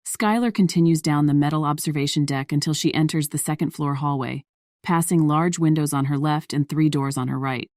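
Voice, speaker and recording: Female narrator, deep register, scripted fiction read, dry close recording. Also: a little fast, darkish tone, dry recording.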